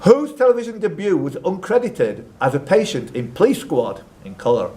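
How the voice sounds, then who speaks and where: A man's voice: a little high, warm tone. A man hosting a live quiz, reading out questions and tossing in chatty, off-the-cuff asides. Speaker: quizmaster. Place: live quiz event at a social club.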